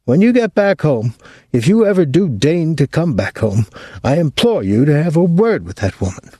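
A man's voice: on the high side, sing-song, normal speed, warm tone, slightly rough, no room sound, studio quality, pitched above the performer's own voice. A man reading aloud voices an elderly mother who complains shrilly and melodramatically over the phone. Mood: complaining. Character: fretful complaining elderly mother, older woman.

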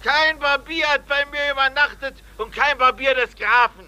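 1950s radio play: curt, shifty post-house innkeeper, extremely high for a male, very hard sharp tone. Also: normal speed, exaggerated voice. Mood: wary.

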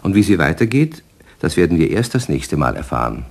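Deep, animated male storyteller. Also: fairly quick. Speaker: narrator. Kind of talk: scripted storytelling for a children's radio play.

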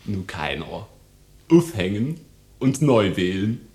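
Brief: a slow, low-voiced comic, dry and deadpan, spells out his own gags. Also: exaggerated voice. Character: East German comedian, dry joke-teller. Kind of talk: 1990s radio play acting.

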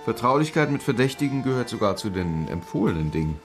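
An actor playing a brooding, dreamy police commissioner in a German radio crime drama, musing and quietly wry. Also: male voice, mid-range voice, very animated, quick pace, soft background music. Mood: wry, evasive.

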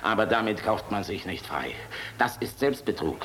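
World-weary defiant german general, low voice, sardonic and brooding, radio play with noisy sound. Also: male voice, lively intonation, normal speed, fairly bright tone. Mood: haunted, brooding. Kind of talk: German radio drama acting. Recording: clearly noisy radio drama recording.

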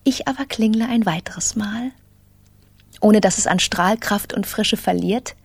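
An actress playing a self-absorbed, steely professional woman in an intimate, controlled radio drama monologue, with a breathy voice and brittle confidence. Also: medium pitch, measured pace, dry recording. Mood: tense, self-satisfied.

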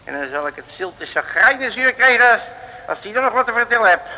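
An actor playing a boastful, bumbling detective in an old comic radio serial, pompous and full of slangy exclamations. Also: male voice, extremely high pitch, animated, a little fast, sharp tone, full character voice. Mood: cocky. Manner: pompous, colourful slangy exclamations, chuckling at himself. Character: boastful, bumbling self-styled great detective. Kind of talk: mid-century Dutch comic radio serial acting. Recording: hissy old radio recording, reverberant.

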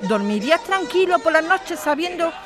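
An actress playing an indignant activist lawyer and daughter in an audio drama, challenging her opponent with forceful questions. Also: extremely high pitch, lively intonation, normal speed, a crowd in the background. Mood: indignant, defiant. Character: combative activist lawyer daughter defending evicted neighbours.

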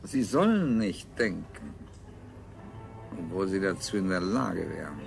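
Callous ruler, gravelly voice, formal deliberate delivery, science-fiction radio drama, soft music behind. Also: male voice, sits low, big pitch swings, heavily acted. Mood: callous, matter-of-fact. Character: callous, domineering male ruler of a space colony. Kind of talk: 1970s German radio play acting.

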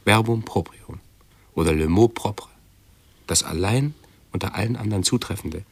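A fussy, pedantic hypochondriac man in a radio play. His voice is low, creaky and husky, and he speaks haltingly, forever correcting his own words. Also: dry recording.

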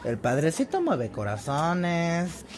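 A woman's voice: sits low, huge pitch swings, slow pace, darkish tone, slight background noise, full character voice. A woman playing a devoted, admiring parishioner in a radio drama.

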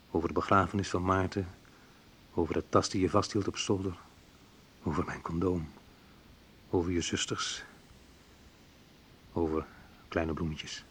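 A man's voice: low voice, a little hiss or hum. An actor playing a wounded man who narrates his youth in a radio play, in short colloquial phrases. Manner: colloquial, sardonic, clipped short sentences. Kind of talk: dramatised first-person narration, radio play.

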